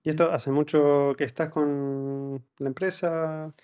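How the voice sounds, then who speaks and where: A man's voice: on the high side, level tone, slow, mellow, clean tone. A man hosting a podcast, asking curious questions with a light joking touch in a studio interview.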